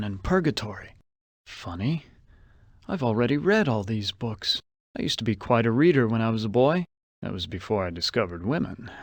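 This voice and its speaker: A suave, self-regarding con man in a video game. He has a mid-pitched voice and thinks aloud in a theatrical, brooding way, wary of what surrounds him. The sound is close and dry. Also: a bit bright, breathy edge, dry recording, full character voice. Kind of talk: video game character monologue.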